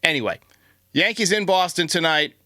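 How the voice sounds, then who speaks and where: Bright, forceful man hosting a sports podcast. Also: fairly high pitch, animated, moderate pace, dry recording, studio quality. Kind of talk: opinionated baseball commentary and listener voicemail replies.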